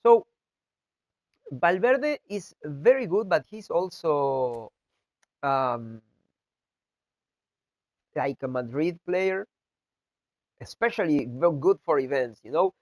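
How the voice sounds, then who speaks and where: A man with a nasal, flu-congested voice, speaking English as a second language, gives a casual video game tutorial that is halting and full of fillers and restarts. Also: fairly high pitch, very animated, dry recording. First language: not English. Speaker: video game content creator. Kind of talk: casual gameplay tutorial talk.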